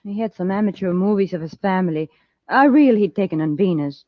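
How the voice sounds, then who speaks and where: An actress playing an efficient, polite woman security officer in an old-time radio space serial, crisp and courteous. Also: mid-range voice, animated, a little fast, no echo, natural voice. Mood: businesslike. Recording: dull lo-fi old radio recording.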